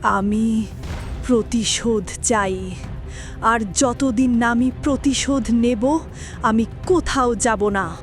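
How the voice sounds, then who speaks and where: A woman's voice: fairly high pitch, soft background music. A woman playing the vengeful ghost of a wronged young village woman in an audio horror story, accusing and dramatic. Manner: accusing, dramatic, slow and drawn out.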